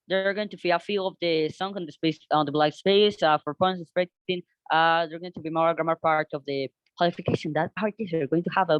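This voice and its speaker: A young man with a Colombian Spanish accent talks through his class podcast project, his voice sitting slightly high. He stumbles and restarts often, feeling for the right English words. The recording sounds dull and dry, close to the mic. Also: clear, resonant tone, dry recording. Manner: halting, searches for words, frequent restarts in hesitant English. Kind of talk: unscripted class presentation.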